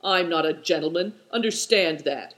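A single reader voicing a calm, dry-spoken old man. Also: medium pitch, moderate pace, natural voice.

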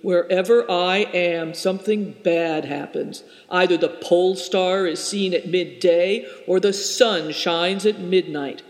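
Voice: medium pitch, measured pace, hardly any pauses, close to an everyday voice. A reader doing a gloomy, pessimistic man. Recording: roomy with long reverb.

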